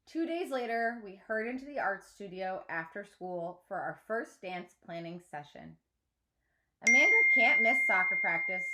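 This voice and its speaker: Female teacher, mid-range voice, expressive children's book read-aloud. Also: mid-range voice.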